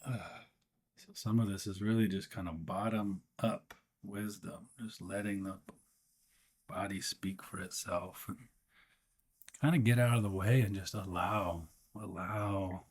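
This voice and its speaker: A man with a fairly low voice guides a meditation. His delivery is gentle and unhurried. He often pauses partway through a sentence before carrying on. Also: fairly even intonation, husky.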